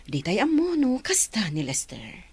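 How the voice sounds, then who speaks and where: An actress playing a calm, reassuring female doctor in a radio drama. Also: medium pitch, measured pace, slightly rough, exaggerated voice.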